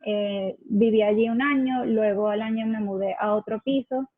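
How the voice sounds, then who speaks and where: Venezuelan woman, husky, on a tinny line. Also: mid-range voice, steady tone, moderate pace, dry recording. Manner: reflective, many fillers and self-corrections. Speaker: guest. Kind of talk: conversational interview.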